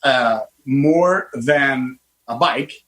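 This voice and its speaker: A man in his fifties hosting a casual radio interview, enthusiastic and animated, with frequent fillers and restarts. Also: medium pitch, drawn-out words, poor audio. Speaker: host, car designer.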